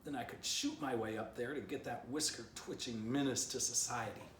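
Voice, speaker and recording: A man voicing an excitable, scheming storybook dog who pleads and grumbles in exasperation, obsessed with catching a squirrel. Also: medium pitch, normal speed, voice pushed up, lightly acted. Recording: roomy, distant mic, faint noise.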